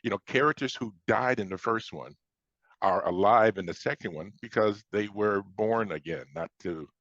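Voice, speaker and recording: An American man from Chicago speaking as an interviewed author, explaining things conversationally in a halting way with repeated starts. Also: lowish voice, fairly bright tone. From Chicago, United States. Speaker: science fiction author being interviewed. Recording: dull, roomy lo-fi sound.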